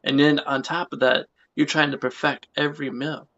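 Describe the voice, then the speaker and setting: Gravelly-voiced young man hosting a chat. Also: medium pitch, little pitch movement, moderate pace, fairly bright tone. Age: late 20s. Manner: rambling, repeats phrases. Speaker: podcast host. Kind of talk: casual interview chat. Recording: muffled lo-fi audio.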